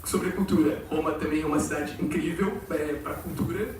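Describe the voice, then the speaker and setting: A young Brazilian man talks loosely to a student crowd, rambling and full of fillers. His voice sits a bit high and comes from far off the mic in a very echoey hall with faint noise underneath. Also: fairly even intonation, a little fast. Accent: Brazilian Portuguese, with some Portugal slang. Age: young adult. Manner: chatty, rambling, many fillers. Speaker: exchange student presenter. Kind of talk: informal presentation to students. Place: large auditorium.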